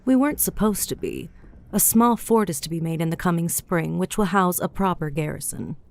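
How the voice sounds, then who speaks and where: A woman's voice: fairly low pitch, lively intonation, brisk, creaky. A woman voicing a cheeky, flirtatious female goliath innkeeper in an audiobook, chatty and teasing, with a motherly streak. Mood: matter-of-fact. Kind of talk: audiobook character dialogue. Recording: music bed behind the voice.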